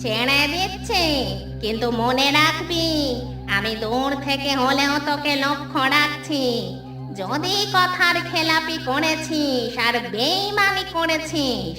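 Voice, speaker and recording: A woman's voice: sits high, lively intonation. A woman voicing a fish-loving female village ghost in a horror cartoon, commanding and loyal, with vengeful weight. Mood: stern threatening. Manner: menacing, drawn-out commanding threats. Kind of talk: Bengali horror cartoon voice acting. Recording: roomy echo, distant, music behind.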